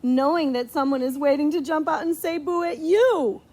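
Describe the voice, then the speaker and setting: A middle-aged woman with a notably high voice reads a storybook aloud to a child. She is playful and theatrical, leaving dramatic pauses before important words. Between passages she drops into casual, chatty asides. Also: darkish tone. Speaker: librarian reading a picture book aloud to a child.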